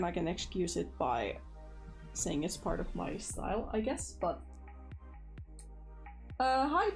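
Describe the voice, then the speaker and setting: A woman with a Finnish accent hosting a casual livestream, chatty and filler-heavy, laughing easily. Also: mid-range voice. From Finland. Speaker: livestream host, animator.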